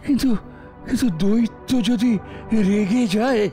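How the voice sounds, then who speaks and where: The ailing old father on his sickbed in an animated fairy tale, fretting haltingly in a cracked voice over background music. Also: very high-pitched. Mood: fearful. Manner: halting, repeats his words. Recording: music behind the voice.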